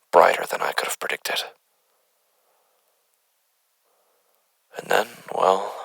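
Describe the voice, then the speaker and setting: A man playing an unsettled doctor who dictates formal, deliberate case findings on the paranormal in a horror audio drama. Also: very deep voice, vocal fry, pushed and bright, breathy edge, no room sound, heavily acted. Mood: hushed awe. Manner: formal, deliberate, halting, wordy. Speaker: investigator narrator.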